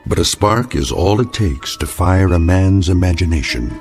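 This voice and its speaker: Ominous radio drama narrator, very low pitch, expressive swings, solemn, deliberate. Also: male voice, soft background music. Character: grave omniscient narrator of eerie tales.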